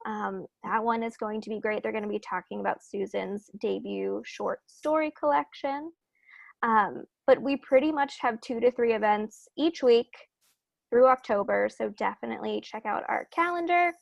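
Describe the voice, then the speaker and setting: A woman's voice: fairly high pitch, normal speed, vocal fry, no room sound. A woman hosting a virtual book event over a video call, giving introductions in an informal, matter-of-fact way. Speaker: bookstore event host.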